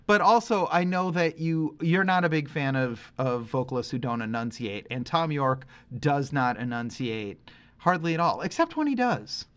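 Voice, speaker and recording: A man's voice: on the high side, animated, moderate pace, fairly bright tone, clear, resonant tone. A man in his forties co-hosting a podcast, talking about music in a casual, rambling, emphatic and opinionated way. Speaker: podcast host. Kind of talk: casual conversational music discussion.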